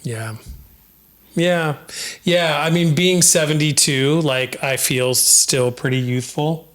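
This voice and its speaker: A man's voice: a little high, slow pace, a bit bright. Joking middle-aged man hosting a panel. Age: 50s. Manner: joking, prompting guests by name. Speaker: host. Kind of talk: casual panel discussion.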